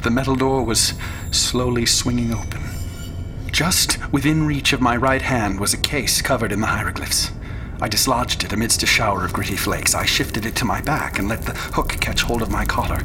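An actor playing a haunted explorer in a radio horror drama, narrating a terrifying ordeal with intense, deliberate and sometimes hushed phrasing. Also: male voice, lowish voice, lightly acted. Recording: clear background noise and ambience.

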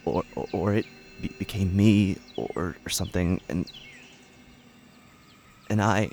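A man's voice: deep voice, darkish tone, soft background music. Man acting frightened, stammering young man. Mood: haunted, confused. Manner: halting, stammering, repeating himself. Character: frightened, guilt-ridden young man afraid of damnation. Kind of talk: horror audio drama acting.

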